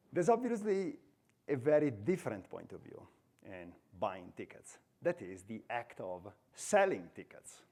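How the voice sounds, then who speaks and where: A man's voice: mid-range voice, darkish tone. A man in his forties with an Italian accent, giving a chatty, self-deprecating conference talk on stage into a headset mic. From Italy. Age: 40s. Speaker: conference speaker.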